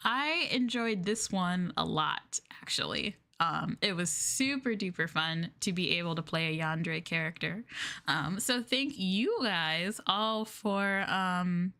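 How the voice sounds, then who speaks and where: Female streamer, bubbly and chatty, mid-pitched, casual livestream talk. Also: expressive, takes it slowly, pushed and bright.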